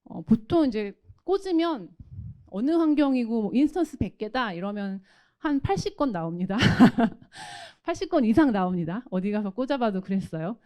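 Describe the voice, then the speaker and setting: Woman doing a product demo talk, explains stuff conversationally, soft mellow tone, really animated pitch. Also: moderate pace. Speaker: tech product presenter from a company's automation division.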